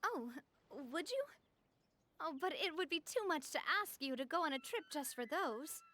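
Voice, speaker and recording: An actress playing a cheerful young female gang leader in a game, gracious and lively. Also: high voice, moderate pace, full character voice. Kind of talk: game voice acting.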